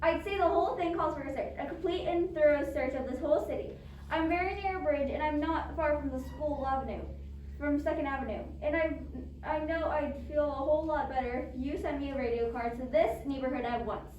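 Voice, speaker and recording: Woman playing anxious bedridden wife, high voice, agitated and stammering, demanding, suspense play, distant mic. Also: brisk, a little mellow, natural voice. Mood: indignant, pressing. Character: anxious, demanding bedridden invalid wife, fretful and self-absorbed. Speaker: amateur drama club actor. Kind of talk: stage adaptation of a radio suspense play. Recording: roomy echo, distant mic, background noise.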